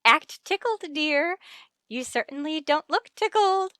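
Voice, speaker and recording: Chirpy, high-pitched managing wife. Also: female voice, animated, a little slow, a bit bright, no echo, clean studio sound. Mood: gushing. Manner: gushing, breezily overriding hostess. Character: chirpy, managing middle-aged wife of the principal. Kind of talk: vintage radio comedy acting.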